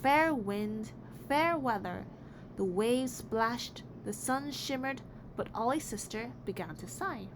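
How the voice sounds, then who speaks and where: A woman reading a children's picture book aloud in an expressive, deliberate storytelling way. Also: on the high side. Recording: clearly noisy background.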